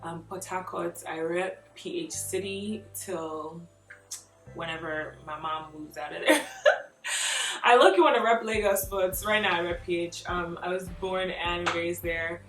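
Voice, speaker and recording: A young woman vlogging, chatting through tag questions in an animated way, laughing often and filling her talk with "like.". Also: fairly low pitch, measured pace. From Nigeria. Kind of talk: casual chat answering tag questions. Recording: roomy echo, mic a bit far off, faint music behind.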